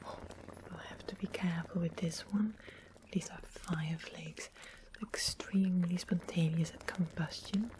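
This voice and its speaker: A sweet-faced, sly witch acted by a woman whispers softly and lowly in an ASMR fantasy roleplay. Her manner is gentle and doting with a quietly sinister edge. Clear noise and small clicks sit behind the close whisper. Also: natural voice. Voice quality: soft unvoiced whisper.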